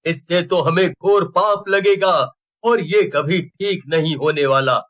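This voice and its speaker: A man's voice: a little high, a bit bright. A man voicing a kindly, worried middle-aged village headman in a cartoon story, speaking earnestly. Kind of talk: Hindi cartoon story dialogue.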